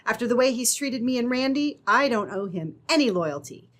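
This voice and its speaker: A woman's voice: on the high side, lively intonation, brisk, fairly bright tone. A woman playing a plucky, earnest young captive in an old-time radio adventure, speaking emphatically.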